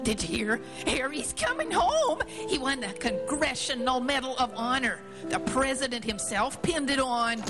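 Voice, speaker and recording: An actress playing a chatty, excitable office secretary with a chirpy manner in a live radio drama. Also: female voice, sits high, moderate pace, hardly any pauses, over music. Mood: chatty, excited. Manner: chirpy, gossipy.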